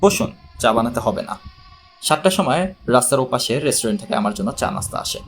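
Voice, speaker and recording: A man's voice: medium pitch, animated, a little fast, a little hiss or hum, pitched below the performer's own voice, lightly acted. A man voicing a wry, unflappable male investigator in an audiobook, dry and plain-spoken. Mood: wry, calm.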